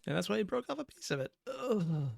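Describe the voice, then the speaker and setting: A man's voice: sits high, sing-song, brisk, creaky, darkish tone, no room sound. Dry-sounding man reacting casually. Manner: musing, questioning, wry. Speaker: reaction video host. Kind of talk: casual running reaction commentary.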